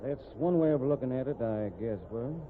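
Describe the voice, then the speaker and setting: An actor playing a calm, dry-witted rodeo manager who investigates a murder. He speaks in a laconic drawl, in 1940s radio acting style. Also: mid-range voice, a little fast, slight background noise, natural voice. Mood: grim. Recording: narrow-band old radio broadcast.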